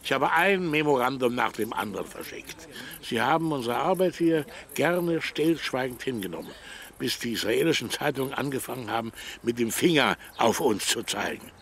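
A gravelly, middle-pitched male voice plays an indignant, self-righteous engineer. He sounds emphatic and aggrieved, in naturalistic radio drama style. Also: expressive, moderate pace, fairly bright tone.

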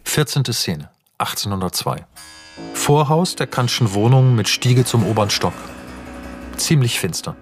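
A man's voice: medium pitch, sing-song, measured pace, over music. A man narrating a radio drama, reading stage directions in a terse, matter-of-fact way.

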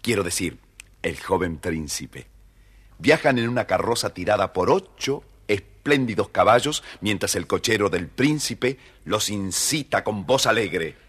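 Children's record narrator: man, very low register, husky, expressive storytelling. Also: measured pace.

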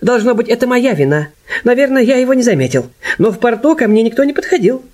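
An actor voicing a small, round-faced, polite Chinese detective with an earnest, measured manner in a radio play. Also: male voice, extremely high pitch, sing-song, voice pushed up, exaggerated voice. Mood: apologetic, earnest. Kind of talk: Russian radio play character acting. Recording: loud music under the voice.

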